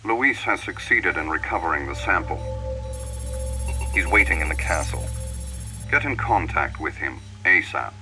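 An actor playing a cold, commanding middle-aged villain boss in a video game, giving clipped, menacing orders over a radio transmission. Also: male voice, low-pitched, lively intonation, unhurried, pauses often, pushed and bright, soft background music, full character voice, low-quality recording. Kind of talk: video game cutscene acting.

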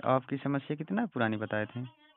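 A man's voice: mid-range voice, expressive, normal speed, slight background noise. Male practitioner on a phone consultation, reassuring, repetitive phrasing, thin telephone sound. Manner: reassuring, repeats words. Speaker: ayurvedic practitioner. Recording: tinny phone call recording.

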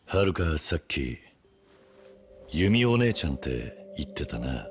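A stoic yakuza man from a game drama, with an extremely low voice. He is gruff and terse, guarded yet protective, and soft music sits faintly behind him. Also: very animated, measured pace, heavily acted. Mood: intent, probing. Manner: terse, gruff, blunt questioning. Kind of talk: Japanese video game drama acting.

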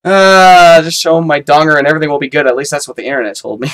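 A young man streaming a horror game, swearing and shouting in panic, talking into a headset in a small room. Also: fairly high pitch, steady tone, fast. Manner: swears a lot, yells in panic. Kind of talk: live horror game commentary.

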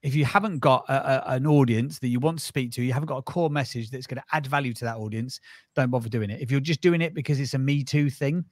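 A quick, energetic man in his thirties talks through his advice in a blunt, animated way. His voice sits close and dry on a studio mic in a small foam-lined room. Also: medium pitch, no echo. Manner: animated, direct, advice-giving. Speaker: podcast agency founder, guest. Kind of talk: casual interview chat. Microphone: studio mic on arm, headphones.

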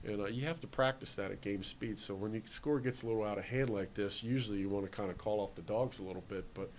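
Low-voiced Canadian man, matter-of-fact. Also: fast talker, a little hiss or hum, rough recording. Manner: steady, matter-of-fact, plain-spoken. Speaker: baseball manager. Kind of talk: postgame press interview. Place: indoor clubhouse hallway. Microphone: handheld reporter mic.

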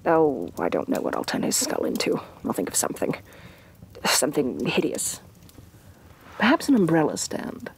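A woman playing a haughty, domineering evil sorceress queen, soft-spoken and imperious in a fantasy ASMR roleplay. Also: low-pitched, creaky, a little hiss or hum, full character voice. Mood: scheming, musing. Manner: imperious, condescending, theatrically disdainful.

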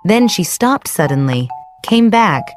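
A woman's voice: mid-range voice, very animated, a little slow. A woman narrator reading a scripted children's story. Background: music.

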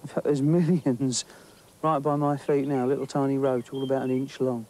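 A man's voice: mid-range voice, flat delivery, moderate pace, a little mellow. A middle-aged man with an English accent, talking enthusiastically to camera as he fishes and explores the bank of a lake outdoors. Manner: chatty and enthusiastic, thinking aloud with small restarts. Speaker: angling presenter. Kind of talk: on-location nature commentary while fishing.

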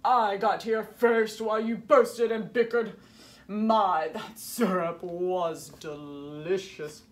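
A woman's voice: mid-range voice, steady tone, slow pace, natural voice. A woman voicing a sneering, gloating waffle baron, the villain of a children's picture book. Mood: smug gloating. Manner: smug, gloating, drawn out.

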